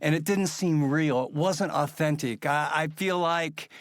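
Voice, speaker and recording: A man with a fairly high-set voice hosts a religious interview. He talks in an earnest, reflective, conversational way. The sound is dry, with very little room in it. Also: measured pace, no room sound. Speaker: interview host.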